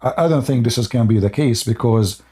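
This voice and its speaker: A man's voice: mid-range voice, fairly quick, dark tone. Insistent man with an Arabic accent. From Middle East. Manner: insistent, lecturing, repeats phrases. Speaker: online channel host. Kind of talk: heated live call debate.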